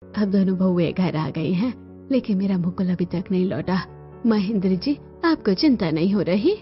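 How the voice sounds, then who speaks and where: A woman plays a bossy middle-aged mother in a cartoon family drama. She speaks firmly at a middle pitch, in slightly dull audio with faint music. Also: lively intonation, moderate pace, natural voice. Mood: worried.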